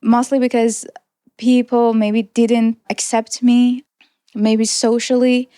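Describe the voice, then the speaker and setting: A woman's voice: on the high side, little pitch movement, slow, dark tone, no room sound, clean studio sound. A young woman in her twenties, originally from Iran, earnestly telling her personal story as an interview guest. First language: Persian. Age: 20s. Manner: reflective, earnest, with small restarts and light laughs. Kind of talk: personal testimony in conversation.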